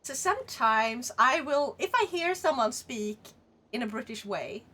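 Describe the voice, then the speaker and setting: A woman with a Swedish accent, streaming and chatting casually with her live chat in a rambling, animated way, laughing often. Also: a little high, a little slow. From Sweden.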